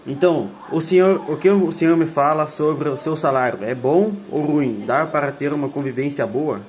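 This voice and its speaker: A man working as a student interviewer, reading out questions in a brisk, matter-of-fact way. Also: a little high, darkish tone, slight background noise.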